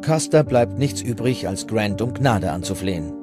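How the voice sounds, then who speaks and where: A man's voice: lowish voice, animated, moderate pace. Male documentary narrator over prominent music.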